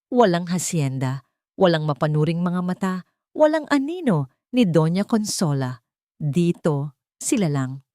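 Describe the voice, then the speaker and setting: A woman storyteller reading scripted dramatic fiction in a measured, solemn way, in short phrases. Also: lowish voice, warm tone, dry recording, studio quality.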